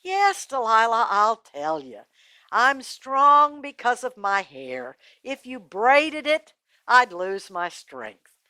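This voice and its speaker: A woman's voice: on the high side, very animated, slow, pushed and bright, no room sound, full character voice. Woman acting out a gullible, cajoling strongman. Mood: giving in. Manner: cajoling, stammering, offhand. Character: gullible, boastful strongman, exasperated lover in Bible tale. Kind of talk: oral Bible storytelling for children.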